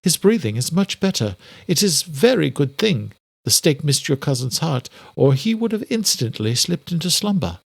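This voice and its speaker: Audiobook servant woman played by a man: meek, deferential, slightly stilted, voice pitched well up. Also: sing-song, normal speed, vocal fry, hardly any pauses, no echo, studio quality, exaggerated voice.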